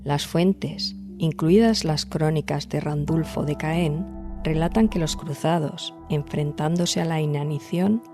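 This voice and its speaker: Woman, podcast narrator, dark mellow timbre, measured scripted delivery, direct address, light music underneath. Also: deep voice. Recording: music behind the voice. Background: background music.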